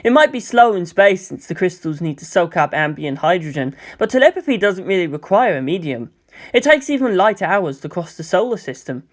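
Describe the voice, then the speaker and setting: A man's voice: high-pitched, big pitch swings, brisk. A man voicing an earnest teenage boy, a psychic student who speaks politely and precisely in an audiobook. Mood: earnest, explaining.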